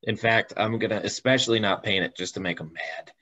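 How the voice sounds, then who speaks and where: A man's voice: lowish voice, brisk, sharp tone. A man chatting casually as an interview guest, with a wry, jokingly stubborn manner, over slightly dull video-call audio. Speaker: interview guest telling car stories. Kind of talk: casual chat.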